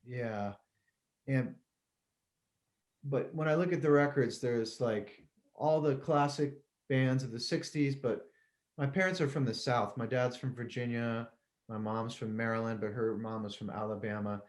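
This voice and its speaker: Relaxed, rambling middle-aged man. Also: mid-range voice, measured pace. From Connecticut, US. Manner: relaxed, rambling, laughs easily. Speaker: musician guest. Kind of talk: casual interview chat.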